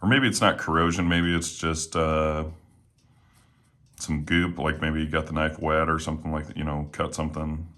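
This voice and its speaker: A man casually talking while taking apart and cleaning a knife at home, a little hoarse today, relaxed and rambling with plenty of fillers. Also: extremely low pitch, normal speed. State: slightly hoarse. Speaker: knife reviewer. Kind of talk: casual talk while working. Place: home room with windows.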